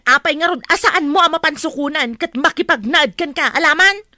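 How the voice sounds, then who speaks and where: Woman acting a high, bright, pleading mother. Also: brisk, no room sound, clean studio sound. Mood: defensive. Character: worried mother pleading for her child, defensive, emotional. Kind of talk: radio drama acting.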